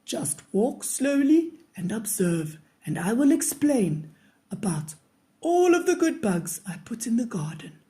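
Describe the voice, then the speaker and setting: A woman voicing a patient, kindly grandfather in a children's storybook, gently explaining things. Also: on the high side, sing-song, a little slow. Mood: calm, instructive. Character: patient, kindly grandfather who loves garden bugs.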